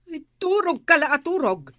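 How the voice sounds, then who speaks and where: A woman's voice: high-pitched, big pitch swings, normal speed, sharp tone, no echo, studio quality, exaggerated voice. A woman acting a scolding, sarcastic village captain's wife in a radio comedy drama, with an Ilocano accent.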